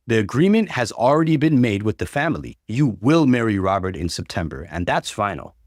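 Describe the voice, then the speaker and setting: A man's voice: on the low side, very animated, a little fast, dry recording, clean studio sound. A man voicing a stern, cold middle-aged father in a story, firm and commanding.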